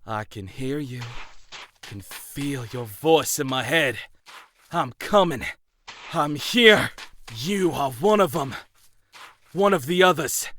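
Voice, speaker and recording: Psycho symbiote villain, sinister n slow, real sharp edgy voice, comic dub. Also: male voice, mid-range voice, expressive. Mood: obsessed, yearning. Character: murderous symbiote-bonded male supervillain, obsessed and menacing. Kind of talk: comic book dub acting.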